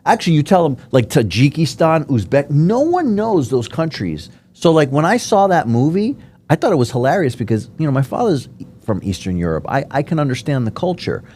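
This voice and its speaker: An American man hosting a podcast interview, chatty and animated, with many restarts. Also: on the high side, brisk, slight background noise. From United States. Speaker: podcast host. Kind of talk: casual interview chat. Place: podcast studio.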